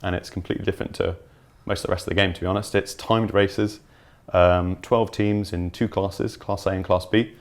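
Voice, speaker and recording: A man's voice: low-pitched, little pitch movement, a little fast. A man chatting informally and enthusiastically as a game developer explaining features in an interview. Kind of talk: casual explanatory chat.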